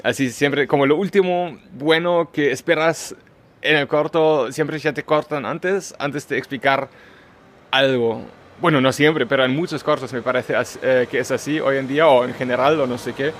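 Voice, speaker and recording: German guy cohosting a podcast, average pitch, lots of ems and restarts. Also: normal speed, a little hiss or hum. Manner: hesitant, thinking aloud with many fillers. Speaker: podcast co-host. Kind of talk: casual chat.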